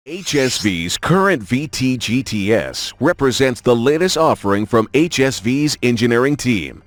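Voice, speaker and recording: A man delivers scripted promotional narration for a car showcase in a mid-pitched voice. His intonation is lively, rising and falling widely as he reads. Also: no room sound.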